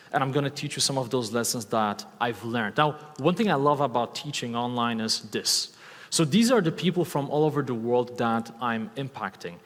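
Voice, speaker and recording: A man in his thirties with a Polish accent speaks from a stage to a live crowd, upbeat and coaxing, throwing questions to the audience. His voice sits at an ordinary male pitch, and the big hall adds a long reverb tail. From Poland. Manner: enthusiastic, asks the audience questions, repeats key words. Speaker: speaker giving a talk on stage.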